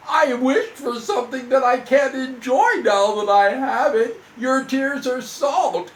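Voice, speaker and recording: A man's voice: very high-pitched, voice pushed up, heavily acted. A man voicing a precocious, self-important frog in a declamatory way for a children's bedtime story. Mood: dismayed. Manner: punctuates speech with ribbits, declamatory. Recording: echoey room, off the mic.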